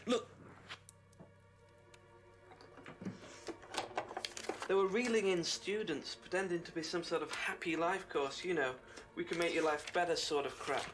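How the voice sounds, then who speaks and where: An actor playing an agitated, smug conspiracy theorist in a mystery video game, emphatic and know-it-all. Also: male voice, a little high, fairly quick, background noise, close to an everyday voice. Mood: smug, heated. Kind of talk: FMV mystery game acting.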